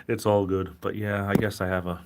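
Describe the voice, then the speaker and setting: A man with a Rhode Island accent and a fairly low voice, chatting into his webcam from home. He rambles in a friendly way and pokes fun at himself as he answers viewers. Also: noisy background. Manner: rambling, self-deprecating, chatty. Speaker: livestream host. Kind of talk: casual livestream chat.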